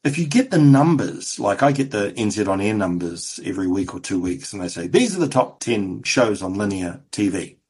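Husky New Zealand man, halting speech. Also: on the low side, big pitch swings, darkish tone. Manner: halting, frequent restarts and "you know" fillers. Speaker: television producer, guest. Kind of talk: casual interview conversation.

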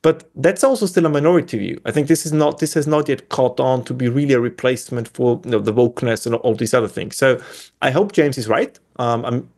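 A man with an Austrian German accent speaks as a guest in a conversational discussion, with a voice of ordinary middle pitch. He talks thoughtfully and often circles back to restart a phrase while he reaches for the right word. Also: fairly quick, vocal fry, darkish tone.

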